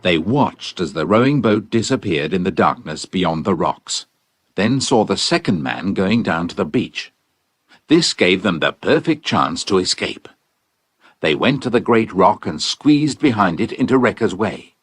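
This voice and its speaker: A man narrates a children's adventure audiobook. His voice is deep and very animated in its rise and fall. The recording is dry and close. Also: measured pace, slightly rough, dry recording.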